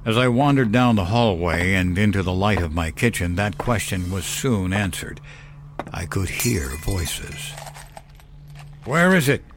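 A man giving measured, suspenseful first-person narration of a horror story. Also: sits low, background noise. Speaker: narrator of horror fiction podcast. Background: background music.

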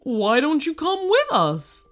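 A woman's voice: sits high, wildly swooping pitch, slow pace, faint music behind, voice pushed up, exaggerated voice. A woman voicing a big, shy, kindly male monster in a children's storybook, speaking hesitantly and bashfully. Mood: kindly, welcoming.

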